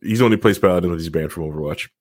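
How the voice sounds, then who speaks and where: A man's voice: sits low, very fast, darkish tone. A man hosting a podcast in casual group banter, rambling along and poking fun at himself. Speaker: podcast host.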